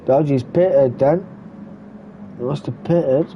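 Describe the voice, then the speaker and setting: A man's voice: mid-range voice, takes it slowly. Guy calling a sim race, bunged up from a cold, muffled lo-fi sound with noise behind. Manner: relaxed running commentary with fillers. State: stuffy from a cold. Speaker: race commentator. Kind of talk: sim racing commentary. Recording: muffled lo-fi recording with background noise.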